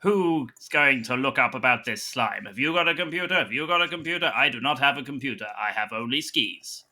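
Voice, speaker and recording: A man's voice: medium pitch, expressive, brisk, sharp tone. A man improvising dramatic narration and banter in a comedy audio drama, with a hammy, flustered manner.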